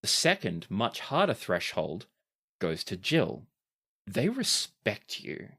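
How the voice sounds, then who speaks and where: A man with a low voice works as game master at a tabletop game. He improvises scene narration and calls for rolls in a conversational way. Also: huge pitch swings, takes it slowly, bright, forceful tone, slightly rough.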